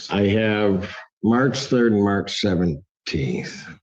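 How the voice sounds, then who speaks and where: Wry joking male judge, low voice. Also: lively intonation, takes it slowly, darkish tone, muffled recording. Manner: wry, teasing. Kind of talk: joking courtroom banter.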